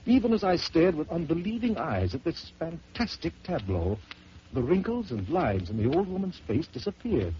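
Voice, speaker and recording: A man narrating a first-person horror story in dramatic old-time radio style, swelling and dropping to hushed tones. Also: on the high side, sing-song, moderate pace. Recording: dull lo-fi old radio recording with hiss.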